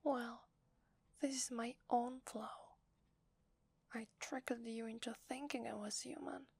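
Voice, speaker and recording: A woman with an Italian accent playing a loving, playful werewolf wife in a soft, breathy ASMR roleplay, tender and intimate in her delivery. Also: a little high, steady tone, slow, no room sound, heavily acted. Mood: apologetic, remorseful. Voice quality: breathy, whispery.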